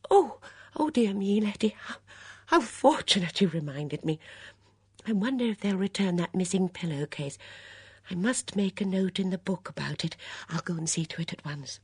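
Audiobook role: excitable, dithering older woman voiced by a female reader, high, breathy, very animated, close dry sound. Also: normal speed, dry recording. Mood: flustered, fussy. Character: fluttery, anxious, stubborn older woman companion. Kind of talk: audiobook character dialogue.

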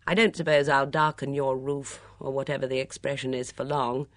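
A woman's voice: rumbling low, fairly even intonation, fairly quick, no room sound, studio quality. A detached young Englishwoman from a storybook, voiced by a female reader. She is dryly sardonic, delivering her words with cool irony. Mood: cynical, sardonic. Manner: cool, ironic.

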